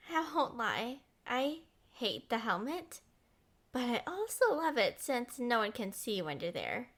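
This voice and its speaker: A woman's voice: fairly high pitch, a bit bright, exaggerated voice. A woman playing a smitten, possessive young princess in a romantic roleplay audio, coy, entitled and playfully defensive.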